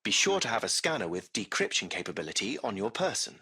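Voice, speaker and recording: A man's voice: on the low side, big pitch swings, fast talker, bright, forceful tone, breathy edge, exaggerated voice. A man playing a courteous, formal AI taxi-service machine in a video game, with precise, butler-like phrasing. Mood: formal, explanatory. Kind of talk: scripted video game voice acting.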